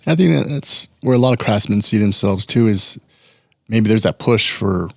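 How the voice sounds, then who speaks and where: A man hosting a podcast interview, asking casual, halting questions. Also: on the low side, expressive, gravelly, dry recording, clean studio sound. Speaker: podcast host.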